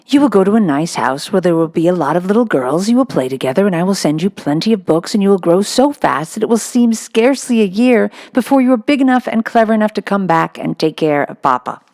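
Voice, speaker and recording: A woman reading a storybook, voicing a gentle young father who speaks in a tender, reassuring way. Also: mid-range voice, expressive, fast talker, hardly any pauses, voice pushed up, lightly acted. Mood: gently reassuring.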